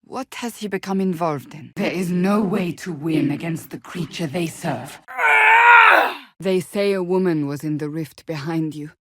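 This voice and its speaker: A woman's voice: sits low, unhurried, raised voice, noisy background. A woman playing a stern, devout, proud warrior in a fantasy video game, speaking in a formal, clipped and earnest manner. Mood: resolute.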